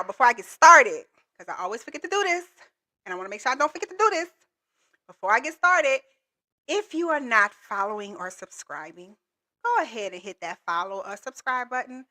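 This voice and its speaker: A woman coach teaching viewers on a livestream, high-energy and playful, talking straight to her audience. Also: sharp tone. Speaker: government contracting coach.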